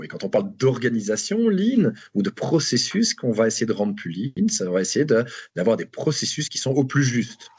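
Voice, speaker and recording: A man's voice: lowish voice, breathy edge. A man with a Belgian French accent presenting a webinar over a video call, hesitant with many restarts. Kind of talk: live online presentation.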